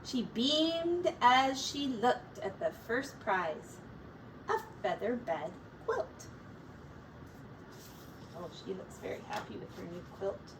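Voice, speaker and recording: High-voiced woman slowly reading a storybook aloud. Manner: expressive, deliberate storytelling for small children. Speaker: teacher reading aloud to young children. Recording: distant mic, faint background noise.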